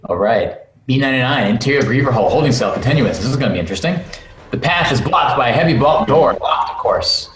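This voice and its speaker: A man's voice: mid-range voice, fast talker, slight background noise. A man narrating screenplay stage directions at an online table read, reading expressively with occasional stumbles and asides. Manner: expressive, stumbles and breaks to comment. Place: remote video call from a room. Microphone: video call microphone. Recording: roomy, echoey video call audio.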